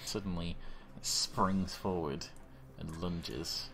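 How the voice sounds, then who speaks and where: A man's voice: low-pitched, fairly even intonation, takes it slowly, vocal fry. A man running a tabletop horror game as game master, describing the action blow by blow with sound effects, on a voice call.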